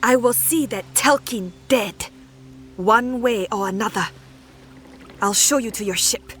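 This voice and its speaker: A woman's voice: on the high side, unhurried, fairly bright tone, soft background music. Proud, bitter female agent. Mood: determined. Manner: bitter, determined, formal. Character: proud, embittered female secret agent bent on revenge. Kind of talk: scripted fantasy game dialogue.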